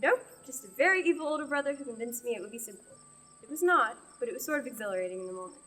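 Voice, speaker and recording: A woman's voice: fairly high pitch, animated, very fast, fairly bright tone. A young woman acting a stubborn, indignant young woman on a quest in a radio play. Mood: wry, reflective. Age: young adult. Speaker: student actor.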